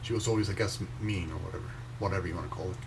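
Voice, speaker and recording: A young man raised in Venezuela, reading a motivational post aloud and commenting on it in a slow, measured way with pauses between phrases. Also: low voice, creaky, noisy background. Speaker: inspirational speaker reading his own post.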